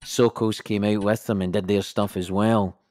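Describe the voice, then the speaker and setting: An actor playing a measured, formal investigator of a suspicious death in an audio drama. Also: male voice, on the low side, little pitch movement, darkish tone, no echo, natural voice. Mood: measured, official. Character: dry, officious male investigator of a suspicious death.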